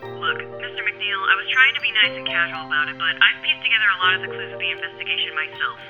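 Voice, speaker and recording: An actress playing a persistent young college journalist on a telephone line in a radio drama, polite but pushy. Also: female voice, medium pitch, animated, quick pace. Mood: insistent. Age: young adult. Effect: telephone filter. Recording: muffled phone-call sound with faint music.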